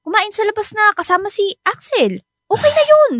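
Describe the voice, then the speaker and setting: A woman's voice: extremely high pitch, sing-song, moderate pace, fairly bright tone, no room sound, heavily acted. A woman acting a protective, stubborn young wife and mother with a Filipino accent in a radio drama. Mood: defensive, annoyed. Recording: studio drama over background music.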